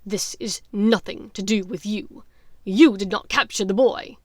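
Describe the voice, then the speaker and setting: A woman's voice: medium pitch, fairly even intonation, measured pace, darkish tone, no room sound, close to an everyday voice. A woman voicing a haughty aristocratic wizard father in an audiobook, pompous and emphatic about his authority. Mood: angry. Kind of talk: dramatic audiobook character voice.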